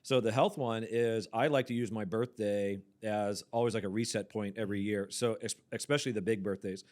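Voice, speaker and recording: A man in his forties hosting a church podcast, chatting casually with quick asides and easy laughs. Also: fairly low pitch, fairly even intonation, darkish tone. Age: 40s. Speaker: podcast host, pastor. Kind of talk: casual chat.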